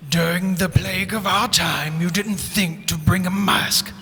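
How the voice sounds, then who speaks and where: A man's voice: high-pitched, steady tone, unhurried, bright, forceful tone, breathy edge, soft background music, full character voice. A man playing a grandiose shopkeeper who proclaims like an ancient warrior-king in a comic radio skit. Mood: solemn, stern. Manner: pompous, theatrical archaic proclaiming.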